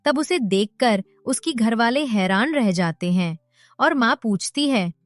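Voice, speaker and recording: A woman's voice: fairly high pitch, animated, unhurried, dry recording. A woman reading scripted narration for a family drama story.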